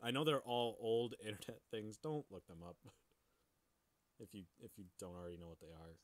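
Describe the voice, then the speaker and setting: A man's voice: lowish voice, fairly quick. A young adult man co-hosting a podcast in casual, crude, profane banter. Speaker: podcast co-host.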